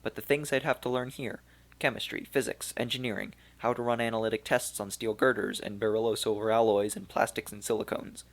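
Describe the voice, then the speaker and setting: A man voicing an elderly, weary archaeologist of Turco-German origin, speaking slowly and regretfully in a gravelly voice. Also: fairly low pitch, steady tone, lightly acted. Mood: resigned, fatherly. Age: older.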